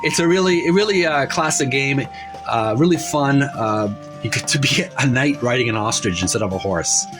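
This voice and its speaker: A man hosting a hobby show, describing illustrations in a casual, unscripted way, halting and rambling as he searches for words. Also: mid-range voice, over music. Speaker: host of a retro video game art book show.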